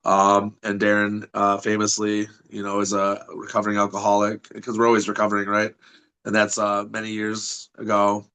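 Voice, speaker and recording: A man's voice: fairly low pitch, monotone, moderate pace, sharp tone, clean tone. A man from Michigan with a Michigan accent, a wrestling school head talking as a podcast guest, confident and promotional, often restarting his sentences. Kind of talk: podcast interview.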